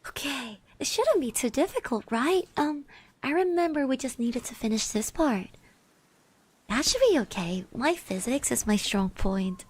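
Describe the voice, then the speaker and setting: A woman playing a shy, friendly schoolgirl classmate in an ASMR roleplay, speaking softly, gently and hesitantly. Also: high-pitched, lively intonation, measured pace, background noise. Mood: shy, hopeful. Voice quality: soft, breathy. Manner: hesitant, gentle, with little hums and trailing repeats. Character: shy, friendly high school girl classmate, admiring and easily flustered.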